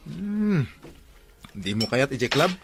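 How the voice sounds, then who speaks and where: A man's voice: mid-range voice, big pitch swings, slow, creaky, music in the background, exaggerated voice. A man playing an easygoing, teasing drinking buddy in an audio drama. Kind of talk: Ilocano audio drama dialogue.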